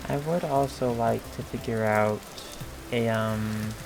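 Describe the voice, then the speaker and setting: A man with a hoarse, gravelly voice talks slowly and unhurriedly, thinking aloud as he plays. He hesitates often and fills gaps with ums. Game music plays clearly underneath him. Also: medium pitch, steady tone, rough recording. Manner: slow, hesitant thinking aloud with many fillers. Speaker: gameplay video creator. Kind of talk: casual commentary over gameplay. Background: game music and rain sounds.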